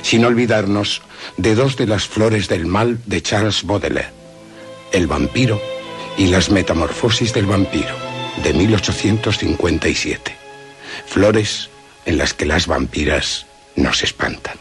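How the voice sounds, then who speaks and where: Male narrator for radio, low register, dramatic scripted read with soft music underneath. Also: measured pace.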